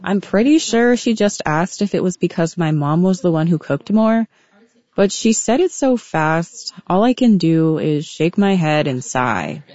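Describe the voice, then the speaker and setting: A woman in her twenties narrating her own story in a reflective, measured, scripted way. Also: on the low side, expressive, darkish tone, no room sound. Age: 20s. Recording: dull, muffled audio.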